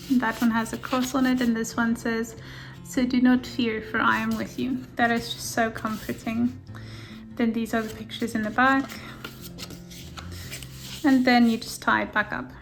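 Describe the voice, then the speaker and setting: A gentle, softly enthusiastic woman whose first language is Afrikaans, talking through her handmade journal at an easy pace. Her voice sits a little high. Faint music plays quietly behind her. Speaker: junk journal maker. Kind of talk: casual flip-through narration. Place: indoors at a craft table.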